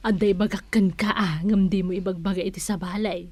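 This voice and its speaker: A woman playing a gossipy, motherly storekeeper in a comedy radio drama, chatty, with a drawn-out teasing delivery. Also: mid-range voice, fairly even intonation, a little mellow, no room sound, close to an everyday voice.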